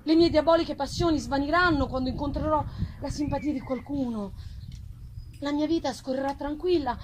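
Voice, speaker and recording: A woman's voice: high-pitched, normal speed, noisy background, voice pushed up, lightly acted. A woman voicing a wretched, vengeful male monster, pleading eloquently in a solemn, theatrical gothic reading. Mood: earnest, insistent. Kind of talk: dramatic gothic novel reading. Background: live plucked guitar music.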